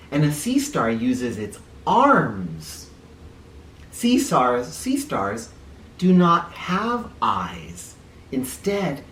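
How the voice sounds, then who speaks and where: A man's voice: medium pitch, fairly bright tone. A man reading a children's book aloud in a playful, explanatory way, stopping to put questions to young listeners. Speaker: children's book reader and teacher. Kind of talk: animated read-aloud with asides for children. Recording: roomy, distant mic, faint noise.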